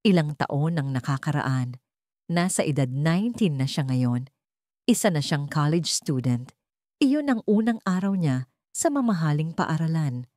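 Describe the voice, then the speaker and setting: Expressive woman storyteller, lower-pitched voice. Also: unhurried, no room sound, studio quality. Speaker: audiobook narrator. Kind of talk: scripted dramatic story narration.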